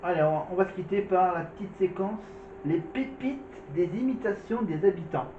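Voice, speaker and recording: A man's voice: sits high, expressive, moderate pace. A man hosting a casual vlog, commenting on clips with many fillers and restarts. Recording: echoey, distant, phone-like with noise.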